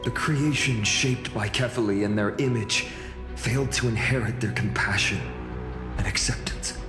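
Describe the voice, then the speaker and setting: An actor playing a grave, concerned noble lord in a video game, restrained and serious. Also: male voice, lowish voice, moderate pace, close to an everyday voice. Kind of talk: English video game voice acting. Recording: echoey hall reverb with music.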